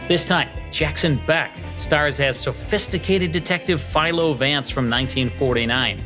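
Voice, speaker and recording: A man's voice: on the high side, moderate pace. An American man reading scripted narration as the host of a podcast. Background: music.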